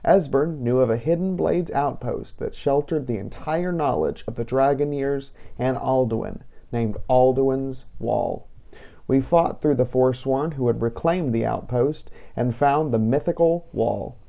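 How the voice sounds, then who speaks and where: A man's voice: mid-range voice, unhurried, gravelly, highs cut off. A man hosting a game playthrough, reading scripted narration. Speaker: host of a game playthrough series.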